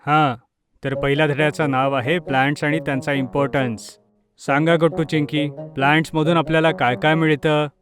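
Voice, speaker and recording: A man plays a stern father in a children's cartoon story, his voice a bit higher than most men's. He instructs firmly and impatiently, in a scolding teacher's manner, with faint music underneath. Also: flat delivery, normal speed, close to an everyday voice.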